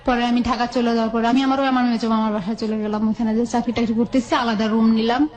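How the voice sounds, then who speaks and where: A woman's voice: on the high side, flat delivery, fast, a little mellow. A woman with a Bangladeshi accent telling her own life story in a colloquial, conversational way, often quoting dialogue back and forth.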